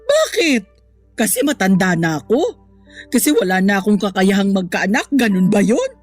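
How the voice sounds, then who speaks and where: A woman's voice: medium pitch, big pitch swings, normal speed, faint music behind. Woman acting a tearful, plaintive Filipina older mother. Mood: hurt, defensive. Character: heartbroken older mother, defensive and wounded. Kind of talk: Filipino radio-style drama acting.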